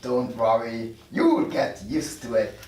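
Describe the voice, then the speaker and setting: A man's voice: mid-range voice, wildly swooping pitch, slow pace, darkish tone, a little hiss or hum. A man playing an unruffled, instructive medical supervisor in a drama table read. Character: matter-of-fact male medical supervisor in the afterlife. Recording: echoey room, distant mic.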